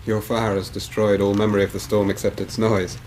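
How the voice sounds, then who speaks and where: Radio drama casting: male actor as sincere, courteous English gentleman, measured literary delivery, fairly low pitch, light background noise. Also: level tone, close to an everyday voice. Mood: reflective. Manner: formal, measured, earnest. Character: earnest, well-spoken young English gentleman telling his story. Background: storm wind ambience.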